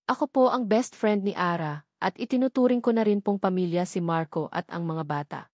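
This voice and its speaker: A woman's voice: lowish voice, fairly quick, a little mellow, no room sound, clean studio sound, close to an everyday voice. A woman voicing a scheming woman in an audio story, sweet and falsely sympathetic. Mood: feigned sweetness. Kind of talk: audio story character dialogue.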